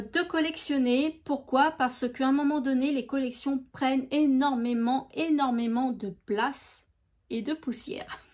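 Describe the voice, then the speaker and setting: A woman from France hosting her own channel, chatting gently through viewer questions with many small hesitations. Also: high voice. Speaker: YouTube crafts channel host. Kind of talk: casual FAQ video answering viewer questions.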